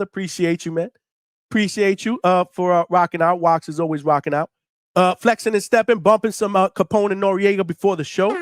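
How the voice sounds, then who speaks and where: A middle-aged man hosting a live boxing call-in stream, chatting casually in hyped, slangy talk with shout-outs and free swearing. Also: fairly high pitch, no echo, clean studio sound. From New York City, United States. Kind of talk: casual livestream chat with viewers.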